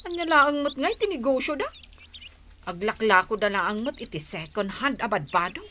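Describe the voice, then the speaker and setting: A woman's voice: a little high, sing-song, moderate pace, bright, forceful tone, slight background noise. An actress with an Ilocano accent playing a whiny, envious village woman who grumbles indignantly in a radio drama. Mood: envious, scornful. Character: envious, sulky village woman who grumbles about her rich neighbours. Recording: music bed behind the voice.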